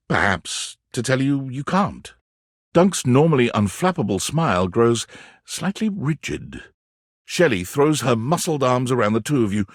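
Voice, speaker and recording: A man playing a wry, playful narrator in a video game, telling a scripted story in the second person with expressive, dramatic phrasing. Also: medium pitch, husky, dry recording, studio quality.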